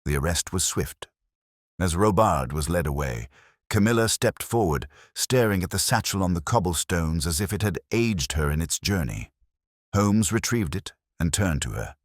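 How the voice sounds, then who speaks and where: A man narrates a detective story with theatrical care. His voice is extremely deep and moves expressively through the scripted prose, with a dry sound free of room reverb. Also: animated, normal speed. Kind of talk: scripted dramatic audiobook narration.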